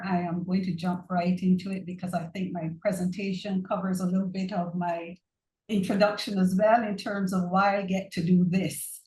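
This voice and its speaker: A middle-aged Barbadian woman opens a governance keynote in a warm, direct manner and sometimes corrects herself mid-phrase. Her voice sits at a mid pitch. It comes through an online call with a noticeable roomy echo. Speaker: keynote speaker. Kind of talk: conference keynote presentation.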